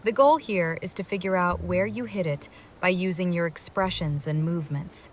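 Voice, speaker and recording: A woman's voice: on the low side, normal speed, slight background noise. A woman reading a scripted first-person game story, moving between narration and dialogue. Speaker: voice-over reader of a game story.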